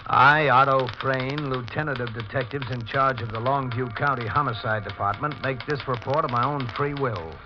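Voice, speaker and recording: An actor playing a stern, methodical homicide lieutenant in a 1940s radio drama, speaking in a deliberate, precise, dramatic way. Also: male voice, medium pitch, lightly acted. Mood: grave, precise. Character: stern, methodical male police homicide lieutenant giving testimony. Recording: muffled 1940s radio recording with music.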